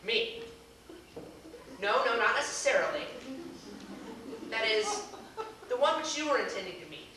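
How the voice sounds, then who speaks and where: A woman playing a flustered, indignant young man in an amateur stage comedy, with halting, formal, exasperated speech. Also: on the high side, sing-song, pushed and bright, heavily acted. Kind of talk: amateur one-act comedy stage acting. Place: theater stage, recorded from far off. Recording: distant, echoey, noisy stage video.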